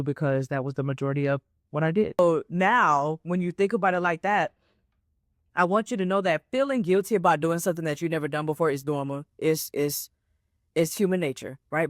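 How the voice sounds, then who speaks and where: A woman's voice: sits low, dry recording, clean studio sound. Chatty woman coach in her twenties. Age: 20s. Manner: casual and conversational, with frequent "right?" and "okay?" check-ins; repeats herself to stress points. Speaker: life coach and podcast host. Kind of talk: solo motivational podcast talk.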